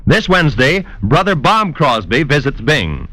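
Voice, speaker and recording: Bright, animated American radio announcer man. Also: on the high side, unhurried, no room sound, rough recording. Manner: polished, promotional.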